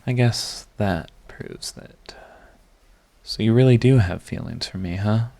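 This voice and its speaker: A man playing a proud yet tender, lovestruck villain in a romantic ASMR audio roleplay, speaking in a halting, intimate, earnest way. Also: on the low side, vocal fry, dark tone. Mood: tender, relieved.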